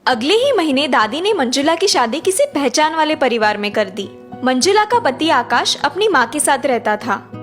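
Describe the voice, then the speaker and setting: A woman's voice: high-pitched, big pitch swings, moderate pace, a bit bright, faint music behind. A woman narrating a scripted story for children.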